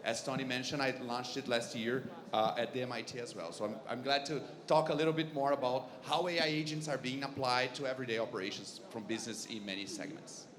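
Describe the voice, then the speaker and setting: A man's voice: medium pitch, steady tone, fast talker, hardly any pauses. A man with a Brazilian accent speaking professionally as a panelist at a conference. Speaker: panelist, marketing company owner. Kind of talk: professional self-introduction on a panel. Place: stage at a conference. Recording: audible crowd in event hall.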